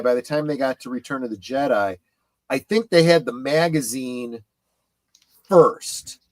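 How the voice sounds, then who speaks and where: A middle-aged man in casual conversation on a livestream panel, speaking haltingly and often repeating words as he goes. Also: mid-range voice, no echo. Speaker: panelist on a pop culture livestream. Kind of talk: casual chat about comics.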